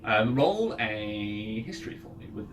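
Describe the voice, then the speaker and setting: A man running a tabletop game, narrating off the cuff in a halting, thinking-aloud way. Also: fairly low pitch, very animated. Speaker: game master. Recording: music playing behind. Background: ambient music.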